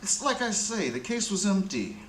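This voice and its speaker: An actor playing a jittery, down-and-out addict and petty thief who grumbles and mutters to himself in street slang, in a radio drama. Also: male voice, high voice, very animated, normal speed, fairly bright tone. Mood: pleading, irritated. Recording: roomy, with faint background noise.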